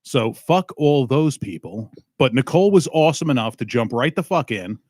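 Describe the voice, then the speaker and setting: Male comedy podcast host, profane and teasing, mid pitch, dry close mic. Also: expressive, moderate pace, a little mellow, no room sound. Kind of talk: crude casual banter.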